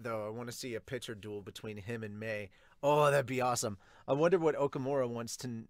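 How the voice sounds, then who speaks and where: A man's voice: medium pitch, no echo, clean studio sound. A man in his thirties talking informally and expressively to viewers as a review host, thinking aloud. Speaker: host of manga review channel. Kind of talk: casual reaction and commentary to viewers. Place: small home room, indoors.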